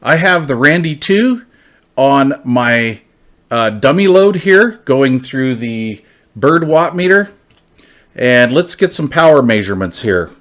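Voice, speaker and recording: A man's voice: medium pitch, lively intonation, takes it slowly, a bit bright. A man reviewing gear hands-on in a casual, informal, folksy way, often repeating his phrases.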